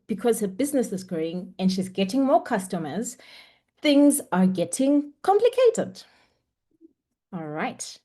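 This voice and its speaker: A woman's voice: medium pitch, warm tone. Chatty young woman teaching an online class. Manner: chatty, encouraging, frequent ums. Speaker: webinar instructor. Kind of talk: online lecture. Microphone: computer mic on a video call.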